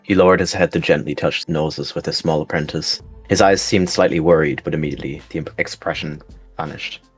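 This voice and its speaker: A man reads scripted roleplay narration in a very low voice. Music plays softly behind him. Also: steady tone, brisk.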